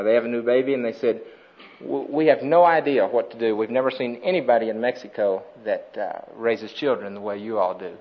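A man giving an earnest mission report to a conference, speaking steadily with frequent pauses. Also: medium pitch. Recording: dull lo-fi recording.

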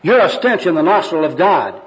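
A pushy young street evangelist, acted out by a man reading a skit, preaches and scolds insistently. The voice is raised high and loud, on a dull, lo-fi recording. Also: big pitch swings, moderate pace. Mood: urgent, preachy. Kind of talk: amateur skit read aloud.

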